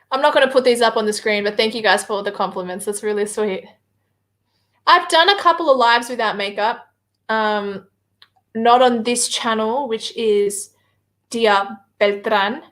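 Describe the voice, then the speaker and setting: A woman's voice: mid-range voice, a bit bright. A woman with an Australian accent talks casually on a livestream, hesitating often with filler words as she answers viewer comments. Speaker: livestream guest reading viewer chat.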